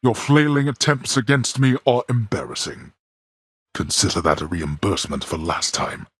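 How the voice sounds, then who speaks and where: A man voicing a stern, scornful god of the dead and imperious father in a video game, with a booming, gravelly voice and formal, archaic phrasing. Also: very animated, normal speed, a bit bright, no echo, clean studio sound, full character voice. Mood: scornful, angry. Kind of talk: video game character voice acting.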